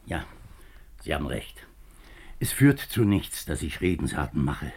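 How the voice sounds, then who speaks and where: A low-voiced, slightly rough-toned minister in a fifties radio crime play. He is earnest and formal, hesitating as he confides his troubles like a reluctant politician. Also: big pitch swings, unhurried, darkish tone, exaggerated voice. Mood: uneasy, formal. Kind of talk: 1950s German radio drama acting.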